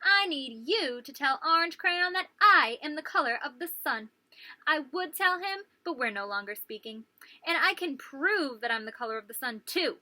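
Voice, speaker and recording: A woman's voice: sits high, pushed and bright, dry recording, heavily acted. A woman voicing a proud, insistent crayon from a children's storybook, speaking emphatically and with self-assurance. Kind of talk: children's storybook read-aloud.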